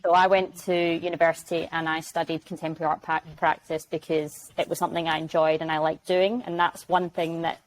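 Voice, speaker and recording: A woman's voice: low voice, level tone, brisk, hardly any pauses, a little hiss or hum, low-quality recording. A young woman from Glasgow with a Glaswegian accent, chatting as a guest on a video-call interview and acting out little quoted exchanges. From Glasgow, Scotland. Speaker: guest, sales development rep.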